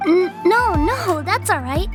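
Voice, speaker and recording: A woman's voice: very high-pitched, animated, slow pace, over music, full character voice. A woman voicing a shy, polite young girl recovering from illness in a video game. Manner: polite, soft-spoken. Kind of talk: game voice acting.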